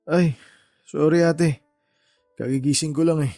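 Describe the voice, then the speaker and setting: A man's voice: a little high, steady tone, measured pace, gravelly, warm tone, no echo, faint music behind. A man playing a weary, defensive younger brother, a young adult, in a Filipino radio drama. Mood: groggy. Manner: defensive, exasperated. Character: weary, irritated younger sibling, a hardworking small business owner.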